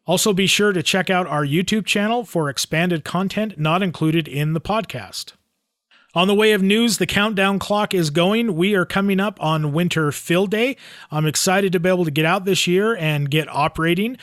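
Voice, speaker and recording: American male podcast host, higher-pitched voice, dry sound. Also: moderate pace, fairly bright tone, no room sound. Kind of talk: podcast announcements and interview.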